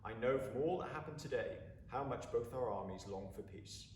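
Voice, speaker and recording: A man reading a story aloud with expressive, deliberate, dramatic phrasing. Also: medium pitch. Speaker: story reader. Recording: roomy, distant mic, faint background noise.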